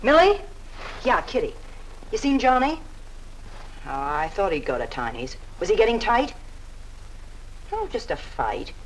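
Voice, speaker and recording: A woman's voice: medium pitch, sing-song, measured pace, pauses often, slight background noise, rough recording. An actress playing a brassy, scornful young American woman, with a slangy, drawling, mocking delivery in mid-century film melodrama style. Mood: lovestruck, dismissive.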